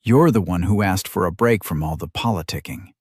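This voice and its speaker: Guy doing a haughty young noble lady for an audiobook, indignant and scolding, pitched up a bit. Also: brisk, husky, no echo, studio quality.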